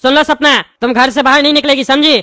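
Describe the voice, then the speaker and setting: A man's voice: extremely high pitch, fairly even intonation, fast talker, bright, forceful tone, a little hiss or hum. A man voicing a blustering cartoon politician and strict father, with emphatic, repetitive rustic speech. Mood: stern, warning. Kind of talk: comic cartoon dialogue.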